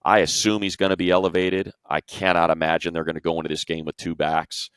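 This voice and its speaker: A man's voice: low-pitched, little pitch movement, fast talker, a bit bright, clean tone, no room sound, studio quality. An American man giving casual sports analysis in an emphatic way, repeating himself for stress. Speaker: sports show analyst, play-by-play announcer.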